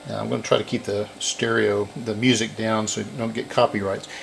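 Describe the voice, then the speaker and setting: A man's voice: on the low side, little pitch movement, fairly quick, creaky. A man giving a casual hands-on repair narration, explaining and thinking aloud as he works. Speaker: repair video host.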